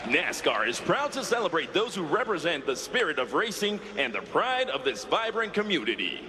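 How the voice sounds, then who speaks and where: Booming stadium announcer man, swooping pitch. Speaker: event announcer. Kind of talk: formal public-address ceremony announcement. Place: large outdoor racetrack over loudspeakers. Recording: echoey stadium PA, loud crowd noise.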